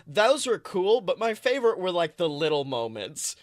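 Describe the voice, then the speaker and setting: Guy podcast co-host, high bright voice, animated rambly movie chat, swears, dry sound. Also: moderate pace, no echo. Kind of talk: casual excited chat about films.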